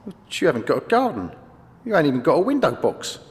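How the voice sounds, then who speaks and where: An actor playing a sly, matey neighbour in a comedy radio play. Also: male voice, fairly high pitch, huge pitch swings, fast talker. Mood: falsely casual. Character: matey, sly cockney neighbour and secret lover. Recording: long echoing reverb, faint noise.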